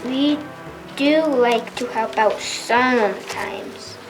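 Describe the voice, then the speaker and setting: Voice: mid-range voice, drawn-out words, rough recording. An amateur performer with a youthful female voice playing a helpful storybook dragon in reader's theater, reading carefully. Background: faint music.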